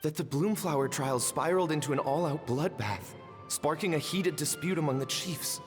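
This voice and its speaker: An actor playing an earnest, wounded younger brother in a video game, reproachful and intense. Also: male voice, on the high side, normal speed, natural voice. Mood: hurt, accusing. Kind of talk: dramatic game dialogue. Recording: long reverb, music underneath.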